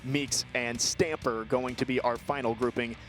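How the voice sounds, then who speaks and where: A man's voice: medium pitch, fairly even intonation, normal speed, a bit bright, soft background music. A man with an American accent giving live play-by-play golf commentary as a sports broadcaster.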